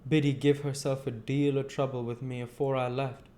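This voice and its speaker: Guy doing a high voiced country bloke from a storybook, kindly, uneducated, argues his point emphatically. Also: level tone, moderate pace, mellow, close to an everyday voice. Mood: earnest, gently insistent. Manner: rustic ungrammatical speech, argumentative, emphatic. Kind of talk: audiobook character voice.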